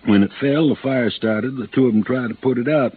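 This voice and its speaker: An actor playing a folksy, talkative insurance agent, in a 1950s radio drama. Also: male voice, medium pitch, brisk, natural voice. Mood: chatty. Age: 50s. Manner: folksy, garrulous, colloquial. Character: folksy, stocky insurance agent in his late fifties, talkative and riled. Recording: noisy, tinny old radio recording.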